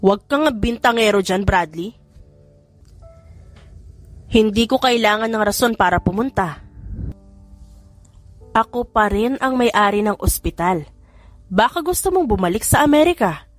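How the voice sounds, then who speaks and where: A woman's voice: mid-range voice, animated, unhurried, pauses often, no echo, faint music behind, close to an everyday voice. A woman voicing an older, wealthy matriarch in an audiobook, a firm but fond mother with an authoritative, motherly manner. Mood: stern. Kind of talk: audiobook character dialogue.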